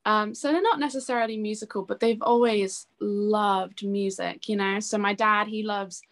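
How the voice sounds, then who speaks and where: A young woman chats as a guest in an interview, with a voice at an ordinary pitch. She talks informally and personally, linking her thoughts in a loose, conversational way. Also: fairly even intonation, moderate pace, fairly bright tone. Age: young adult. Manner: chatty, informal, often says "like" and "you know". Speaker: interview guest, singer-songwriter. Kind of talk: casual chat interview.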